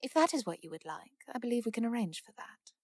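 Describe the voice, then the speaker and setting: A woman's voice: a little high, very animated, fast, no echo, studio quality. An actress playing a poised, courteous noblewoman in a fantasy audio drama, speaking in a formal, composed way.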